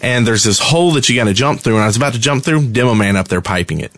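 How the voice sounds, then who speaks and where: A man's voice: mid-range voice, lively intonation, rapid-fire, fairly bright tone, no echo. A man chatting casually as a co-host on a gaming podcast.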